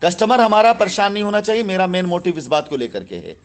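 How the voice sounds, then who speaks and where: A man's voice: sits high, expressive, fast talker. A man speaking with authority as a company boss mediating a dispute on a recorded phone call, often cutting in and repeating himself.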